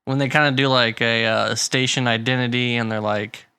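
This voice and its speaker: A man in easy podcast conversation, his voice bright and forward, recorded close and dry behind a pop filter. He rambles, restarts his sentences and laughs a lot. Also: mid-range voice, steady tone, moderate pace, clean tone, no echo. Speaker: podcast co-host. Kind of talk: casual chat.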